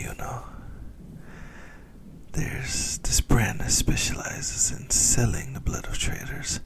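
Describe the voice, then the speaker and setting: A whispering, heavily creaky ancient male vampire, acted out by a man, speaks in an intimate ASMR roleplay. He is possessive and doting, teasing his beloved as he savors each word slowly. His delivery swings expressively between soft murmurs and livelier turns. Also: fairly low pitch, exaggerated voice, rough recording. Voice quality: breathy whisper with gravelly creak.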